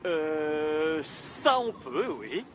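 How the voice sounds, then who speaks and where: High, swooping flustered cartoon fellow. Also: male voice, big pitch swings, extremely slow, exaggerated voice. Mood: good-natured. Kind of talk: French children's cartoon dub. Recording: music behind the voice.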